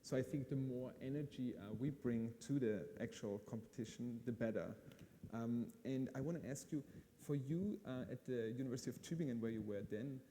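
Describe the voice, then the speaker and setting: Mellow Austrian man hosting in a hall. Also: mid-range voice, a little fast. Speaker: event host. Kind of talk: live on-stage interview, informal and conversational. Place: large hall at a live event in Austria. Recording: hall reverb, audible room noise.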